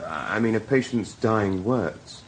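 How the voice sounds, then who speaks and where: An actor playing a guarded, hesitant hospital doctor in radio drama dialogue. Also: male voice, fairly low pitch, expressive, slow pace, a little hiss or hum. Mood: wary, reluctant. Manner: hesitant, reticent.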